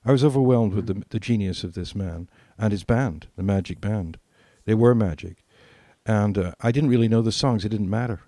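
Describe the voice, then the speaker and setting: A man's voice: fairly low pitch, normal speed, few pauses, dark tone, no room sound, studio quality. An older British man reminiscing as a podcast co-host, repeating phrases for emphasis. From Britain.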